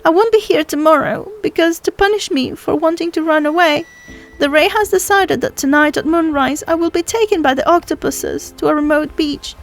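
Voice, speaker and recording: A woman's voice: sits high, normal speed, pitched above the performer's own voice. A woman voicing an earnest, emotional young sea girl in a storybook reading. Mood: despairing. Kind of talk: storybook character voice.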